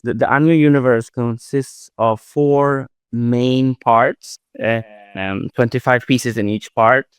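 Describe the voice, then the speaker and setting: A man from Sweden, a creator answering questions in a casual live audio chat, halting and full of restarts. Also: mid-range voice, steady tone, clear, resonant tone, no echo, studio quality. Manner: halting, many restarts and fillers. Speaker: art project creator, writer-director.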